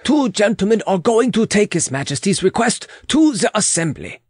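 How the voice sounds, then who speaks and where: A man's voice: high-pitched, big pitch swings, normal speed, breathy edge, no echo, clean studio sound, voice pushed up, full character voice. A man voicing a humble, devoted royal servant in a dramatic audiobook, earnest and deferential. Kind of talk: dramatic audiobook character reading.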